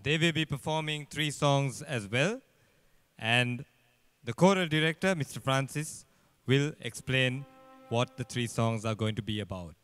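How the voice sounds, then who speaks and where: Young man, Sri Lankan accent, formal emcee announcing, smooth clear voice, dry close handheld mic. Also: mid-range voice, unhurried, a bit bright, dry recording, faint music behind. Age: young adult. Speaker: master of ceremonies. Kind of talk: formal announcing at a choir concert. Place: large church, on stage. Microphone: handheld microphone.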